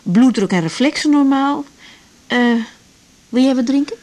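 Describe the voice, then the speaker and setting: A woman's voice: high voice, animated, normal speed, dark tone. A woman playing a caring, frank young doctor in a naturalistic radio drama. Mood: caring, brisk.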